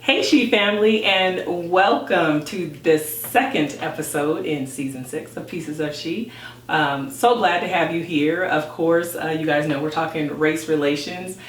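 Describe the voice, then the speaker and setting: A woman's voice: sits low, measured pace, roomy sound. Woman, American accent, podcast host, upbeat and informal, distant mic, light background noise. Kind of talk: chatty podcast interview.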